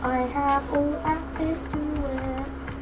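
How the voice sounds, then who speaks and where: A young woman giving casual commentary while playing a game, with deadpan remarks. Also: sits high, slow, rough recording. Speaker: gamer. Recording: voice over loud game music.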